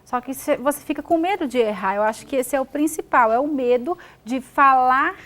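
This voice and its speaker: Brief: brazilian woman host, slightly high voice, asks quick follow-ups. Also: a bit bright. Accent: Brazilian Portuguese. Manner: responsive, asks short follow-up questions. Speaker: TV show host. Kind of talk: TV studio interview.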